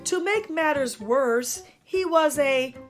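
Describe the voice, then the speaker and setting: A woman storyteller giving an expressive children's read-aloud with dramatic emphasis. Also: high-pitched, takes it slowly, faint music behind. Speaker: storyteller and show host.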